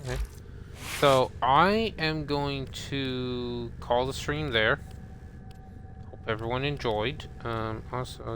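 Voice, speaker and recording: Loud background noise, man, game streamer, slow pace, casual muttered thinking aloud. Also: medium pitch. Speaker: video game live streamer.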